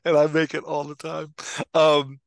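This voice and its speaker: A man's voice: a little high, monotone, a little slow, vocal fry, no echo, low-quality recording. A man in his forties co-hosting a casual podcast discussion in a playful, joking manner, speaking into a headset mic on a video call. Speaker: podcast host and dialogue coach. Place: indoor room at home, video call.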